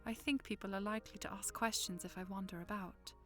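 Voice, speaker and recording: A woman's voice: medium pitch, fairly even intonation, fast talker, a bit bright, natural voice. A woman playing a polite, shy young princess in an audio drama, with music behind her. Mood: polite, hesitant.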